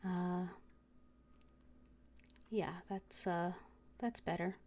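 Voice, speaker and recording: A woman game streamer chatting casually to viewers in a hesitant, halting way. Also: fairly low pitch, fairly even intonation, a little mellow. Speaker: game livestreamer. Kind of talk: casual livestream chat.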